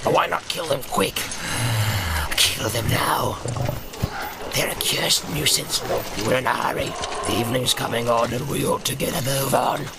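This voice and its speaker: Hissing, gravelly, vicious orc. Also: male voice, mid-range voice, unhurried. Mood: impatient. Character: vicious, hissing, quarrelsome orc underling, hungry to kill. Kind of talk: fantasy audiobook character voice. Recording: loud soundscape music behind.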